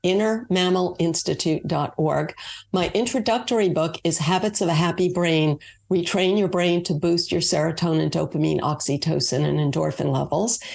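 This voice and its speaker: A woman's voice: fairly low pitch, vocal fry, darkish tone. A woman from Long Island, a guest author who explains things step by step in casual interview talk, with hesitant fillers. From Long Island, New York.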